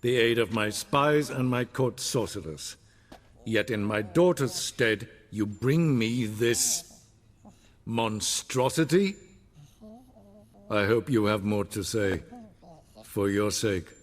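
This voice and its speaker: An actor playing a cold, impatient emperor in a video game, speaking with measured, haughty command. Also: male voice, fairly low pitch, lively intonation, in a large hall. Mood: cold menace. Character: imperious, cold, impatient middle-aged male emperor.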